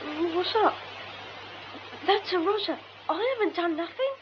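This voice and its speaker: A very high, boyish voice. The streetwise lad is cheeky but sleepy, in a radio play with slightly muffled, faintly hissy sound. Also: female voice, animated, a little slow. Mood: groggy, cheeky. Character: cheeky young boy, streetwise, drowsy in a hospital bed. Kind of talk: radio drama acting.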